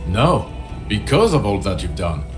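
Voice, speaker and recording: A man's voice: on the low side, big pitch swings, measured pace. A man playing a bitter, exhausted police partner in a video game, blunt and heavily sarcastic. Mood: accusing. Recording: music behind the voice.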